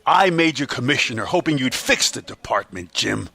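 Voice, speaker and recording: Man as a gravelly, irate mayor. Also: medium pitch, animated, normal speed, no echo, heavily acted. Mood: angry, scornful. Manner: forceful, berating. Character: irate, scornful male city mayor. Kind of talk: superhero fan dub drama.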